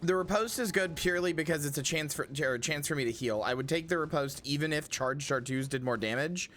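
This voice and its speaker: A man streaming a video game, talking casually with a hoarse, scratchy throat that he keeps clearing. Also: on the high side, brisk, fairly bright tone. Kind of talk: casual gameplay commentary.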